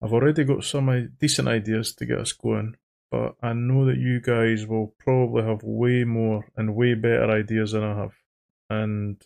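A man with an Edinburgh Scottish accent, hosting a trading channel in a faceless voice-over, talking haltingly and repeating his words. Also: mid-range voice, vocal fry. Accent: Edinburgh Scottish, toned down. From Scotland. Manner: halting, repeats words, says he sounds monotone. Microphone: studio microphone.

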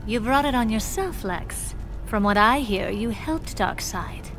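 An actress playing a sly, flirtatious cat burglar in a video game, with a sultry, self-assured, teasing manner. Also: female voice, a little high, expressive, unhurried. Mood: cool, needling. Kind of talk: video game cutscene acting. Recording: game music behind voice.

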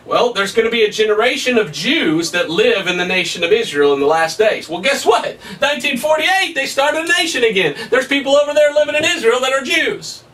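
A man in his thirties preaching a Bible study at a whiteboard, emphatic and excitable, his voice somewhat hoarse. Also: very high-pitched, fairly quick, hardly any pauses, distant mic. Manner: emphatic, rising to excited peaks, laughs at his own points. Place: small room in front of a whiteboard. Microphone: camera at a distance.

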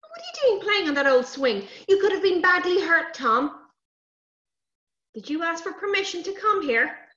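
A woman's voice: fairly high pitch, animated, moderate pace, few pauses, a bit bright, roomy sound, highs cut off. A woman voicing a stern, protective farmer father who scolds emphatically in a children's story. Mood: angry.

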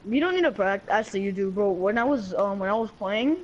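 A young boy in fifth grade chatting over game voice chat, excitable and giggly, often stumbling over his words. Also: high-pitched, fast, highs cut off, slight background noise. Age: child. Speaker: online game player. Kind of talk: casual voice chat while gaming.

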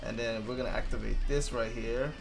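A young man giving loose, excitable commentary over his own gameplay, swearing freely and going hoarse from losing his voice. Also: mid-range voice, brisk, soft background music. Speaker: gaming YouTuber.

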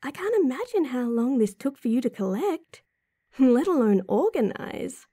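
A woman's voice: sits high, sing-song, normal speed, mellow, no room sound, studio quality, exaggerated voice. A woman playing a sweet, supportive, teasing girlfriend in an intimate roleplay audio drama, talking affectionately to the listener. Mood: impressed, excited. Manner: affectionate, teasing, breathy chuckles and sighs. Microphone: close mic, dry.

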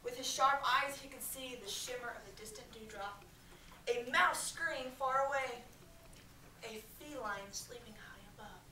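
An American woman reads a story aloud in a high, projected schoolteacher voice. She is expressive and breaks off to question her pupils. She is picked up from across an echoey classroom with faint noise behind her. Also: measured pace, distant mic. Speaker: elementary school teacher. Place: medium-sized school classroom, indoors. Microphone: far camera.

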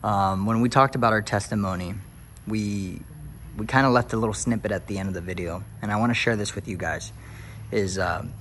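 Casual tour-guide energy from a guy with a fairly low voice, calling everyone y'all and getting more and more fired up, recorded on a phone with faint room hum behind him. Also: fairly quick, fairly bright tone. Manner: upbeat, hyped, talks to viewers as y'all. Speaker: realtor touring a house. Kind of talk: casual house-tour vlog turning to preaching. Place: empty new house, then its covered back patio.

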